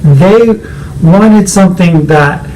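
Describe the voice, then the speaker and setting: Reflective man with a higher voice. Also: slow, darkish tone, slight background noise, rough recording. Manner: reflective, searching for words. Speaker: co-host. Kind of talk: personal conversation.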